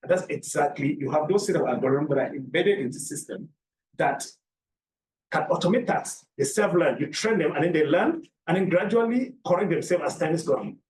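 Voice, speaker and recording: A man's voice: on the high side, animated, fairly quick, darkish tone. Roomy far-off man lecturing. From Cameroon. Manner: explains by repeating key points and asking rhetorical questions. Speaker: academic presenter. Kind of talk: seminar lecture. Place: lecture room. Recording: roomy, from a distance, faint background noise.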